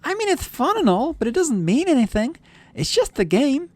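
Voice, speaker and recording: A man's voice: very animated, moderate pace, a little mellow, no room sound. A man voicing an incredulous, dismissive teenage girl from a visual novel, with a breezy lilt. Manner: breezy, sceptical, falsetto-like lilt. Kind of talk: voiced visual novel dialogue.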